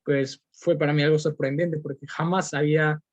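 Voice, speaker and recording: A man's voice: on the high side, little pitch movement, moderate pace, no echo. A young man from Peru with a Peruvian Spanish accent, giving an earnest motivational podcast monologue full of fillers and restarts. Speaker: podcast host. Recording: dull lo-fi recording.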